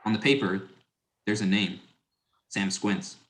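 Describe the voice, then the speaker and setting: A man serves as narrator for a dramatic script reading. His voice is on the low side, delivering scripted stage directions. The sound is noticeably echoey. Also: measured pace, fairly bright tone. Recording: roomy, echoing sound.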